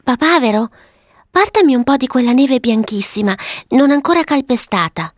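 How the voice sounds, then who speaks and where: A woman voicing a sweet, eager, bossy little girl in a storybook, earnest and excitable as she gives instructions. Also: high voice, moderate pace, no room sound, studio quality, voice pushed up. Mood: happily instructing. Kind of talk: storybook character voice.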